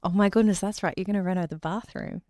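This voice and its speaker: A woman hosting an interview, asking questions in an encouraging way and murmuring soft acknowledgements. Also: mid-range voice, fairly even intonation, fast talker, dry recording, studio quality. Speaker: podcast host. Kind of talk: interview host.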